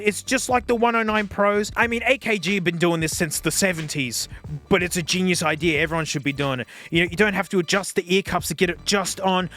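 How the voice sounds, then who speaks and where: An Australian man giving a casual, unscripted gadget review in an excitable, jokey, slangy way. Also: sits high.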